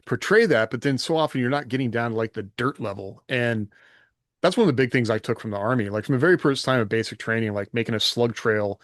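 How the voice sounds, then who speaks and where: A man's voice: mid-range voice, quick pace, a bit bright, no echo, clean studio sound. A man talking casually and conversationally as a co-host on a podcast. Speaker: podcast co-host and science fiction author.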